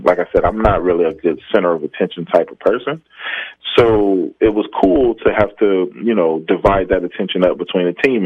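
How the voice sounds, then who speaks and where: A man's voice: low voice, no room sound, phone-quality sound. A man, a stand-up comedian, chatting casually on a podcast about his start, rambling with frequent laughs. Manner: laid-back, rambling, laughs often.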